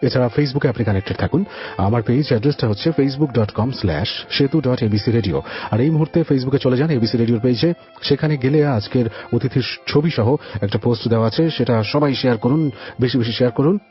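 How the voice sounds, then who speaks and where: A man's voice: medium pitch, moderate pace. A man with a Bangladeshi accent hosting a radio show, presenting to listeners and questioning his guest. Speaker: radio host. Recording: muffled radio audio with music behind. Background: background music.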